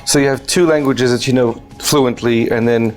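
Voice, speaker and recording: A man hosting an interview, asking questions in a halting way with frequent restarts. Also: mid-range voice, fairly even intonation, over music. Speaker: talk show host.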